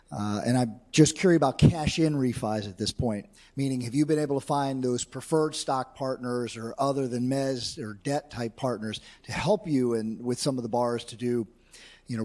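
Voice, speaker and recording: A man's voice: mid-range voice, darkish tone. A man in the audience asking the panel probing follow-up questions. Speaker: audience member.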